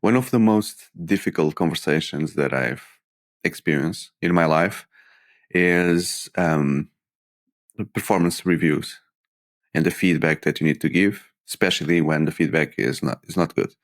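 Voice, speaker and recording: A man's voice: very deep voice, a little slow. A man hosting a podcast, asking casual questions in an interview. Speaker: podcast host. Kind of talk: conversational interview.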